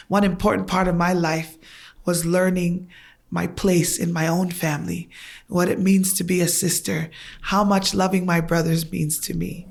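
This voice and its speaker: A woman's voice: fairly low pitch, creaky. A middle-aged woman from Tonga whose first language is Tongan, a podcast guest earnestly and reflectively recounting her upbringing. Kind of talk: podcast interview, recounting childhood.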